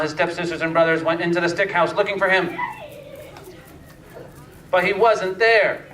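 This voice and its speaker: A man narrating a scripted fairytale slowly and deliberately, with pauses, as a storyteller. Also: a little high, a bit bright. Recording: echoey room, distant mic, faint noise.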